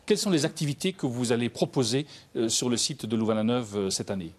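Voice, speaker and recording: A man's voice: medium pitch, expressive, quick pace. A man hosting a TV magazine, asking his guests questions in a formal way. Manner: formal, inquiring. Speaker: TV magazine host. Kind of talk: TV interview hosting.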